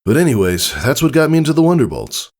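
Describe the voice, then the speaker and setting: A man's voice: on the high side, very animated, quick pace, a little mellow, pitched above the performer's own voice. A man voicing an easygoing father pegasus in a story, proud, teasing and encouraging in a casual, upbeat way. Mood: proud, cheerful.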